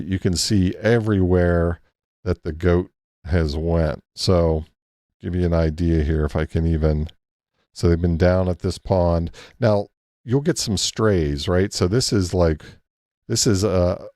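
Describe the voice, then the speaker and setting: Male host, low gravelly voice, unhurried and halting, casual live-stream walkthrough, dry close mic. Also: darkish tone, no echo. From Texas. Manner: halting, thinks aloud with many restarts and fillers.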